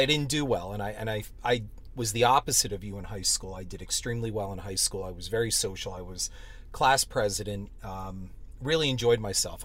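A middle-aged man with a husky, low voice co-hosts a casual podcast. He talks in a wry, joking way, trading banter with his co-host. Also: fairly bright tone. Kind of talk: casual chat.

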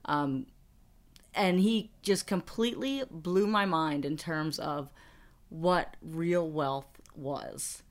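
A middle-aged woman co-hosting a podcast, chatting casually, laughing often and restarting her sentences. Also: deep voice. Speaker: podcast co-host. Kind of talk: casual chat.

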